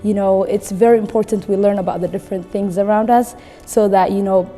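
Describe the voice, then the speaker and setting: A woman's voice: medium pitch, fairly even intonation, brisk, mellow. A woman in her twenties from Somalia, talking casually and conversationally about a book, often saying "you know.". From Somalia, later lived in Kenya. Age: 20s. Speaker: recent graduate, guest book reader. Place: indoor room with wood-panel wall, seated. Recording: reverberant room with background music.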